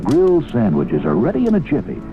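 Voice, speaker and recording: A man's voice: mid-range voice, huge pitch swings, fairly quick. A man reading an upbeat scripted radio advertisement as a commercial announcer. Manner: upbeat selling. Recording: narrow vintage radio broadcast over music.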